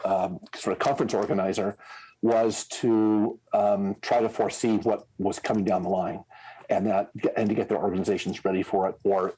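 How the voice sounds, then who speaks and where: A man's voice: lowish voice, flat delivery, moderate pace, dark tone, breathy edge, rough recording. An American man in his sixties with a Midwestern accent, talking casually as an interview guest, rambling with many fillers and restarts. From United States. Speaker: guest, scenario planner.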